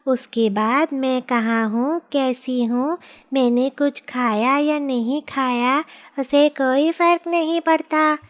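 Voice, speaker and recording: A woman voicing a gentle, grateful magical hen in a children's cartoon story, speaking earnestly. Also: sits high, slow. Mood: sad, resigned.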